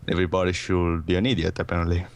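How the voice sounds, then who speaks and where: A man's voice: sits low, level tone, rapid-fire. A man co-commentating a video game in casual chat, with dry, agreeable remarks.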